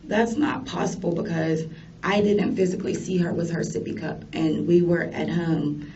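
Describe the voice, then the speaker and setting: A woman's voice: on the low side, moderate pace, a little mellow. An American woman answering police questions as a suspect, defensive and firm in her denials. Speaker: suspect being questioned, a mother. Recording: distant, muffled, noisy interview room recording.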